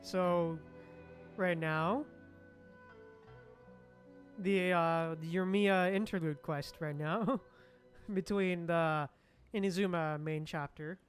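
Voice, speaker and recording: Live game streamer, casual chatty commentary, medium pitch, light background music. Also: fairly even intonation, takes it slowly, pauses often, clean tone. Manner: chatty, addresses viewers by name.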